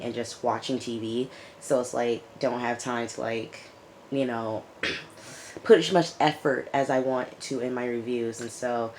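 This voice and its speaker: A woman with a raspy, hoarse voice from recent illness, reviewing a TV episode in a casual vlog and repeating her points for emphasis. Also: very deep voice, darkish tone, a little hiss or hum, rough recording. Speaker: YouTube TV reviewer.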